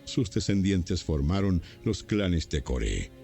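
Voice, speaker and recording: A man gives a solemn scripted Bible narration. His voice is low and gravelly with a dark, mellow tone, and he reads deliberately over faint music. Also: big pitch swings.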